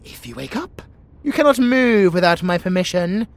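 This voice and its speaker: A British man voicing a pompous, bossy but secretly affectionate tiger warrior in a comedic, creaky-voiced audio roleplay. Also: high voice, sing-song, moderate pace. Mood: softly commanding. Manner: pompous, grandiose, mock-imperious.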